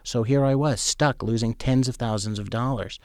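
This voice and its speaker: A man's voice: mid-range voice, normal speed, darkish tone, no echo, studio quality. A man, a journalist and former trader, telling a personal story in a candid, conversational way.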